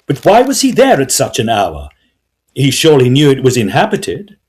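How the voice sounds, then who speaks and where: A man portrays a period ghost investigator in an audio drama. His medium-pitched voice is husky and expressive, his manner formal, courteous and probing. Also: normal speed. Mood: puzzled, probing. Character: inquisitive, courteous Edwardian gentleman ghost investigator and narrator.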